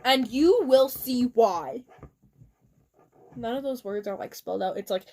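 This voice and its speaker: A woman's voice: a little high, unhurried, a little mellow. A woman doing a mock-dramatic fan fiction reading with casual asides, giggly and theatrical.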